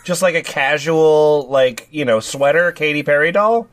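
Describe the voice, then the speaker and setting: A man's voice: a little high, measured pace, no room sound. A man co-hosting a comedy podcast, bantering casually with a drawl and frequent laughs. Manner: drawling, laughing, with loud bursts. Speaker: podcast co-host.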